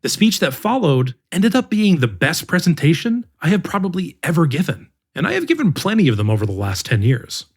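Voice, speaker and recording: Male podcast narrator, slightly high voice, husky tone, reads an essay aloud with measured phrasing. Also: wildly swooping pitch. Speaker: podcast narrator reading an article. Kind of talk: scripted narration.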